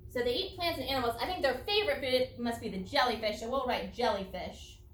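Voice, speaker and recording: A woman's voice: a little high, fast talker, a little hiss or hum. A woman teacher reading a children's non-fiction book aloud, explaining it expressively in simple words for young listeners. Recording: roomy echo, distant microphone.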